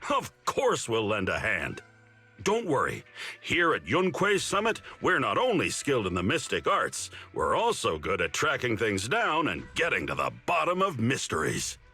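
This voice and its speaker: A man's voice: medium pitch, wildly swooping pitch, normal speed, sharp tone, husky, faint music behind, full character voice. A man playing a glib, eager young mystic-arts disciple in an anime-style game, cajoling like a theatrical salesman. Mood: eager, obliging.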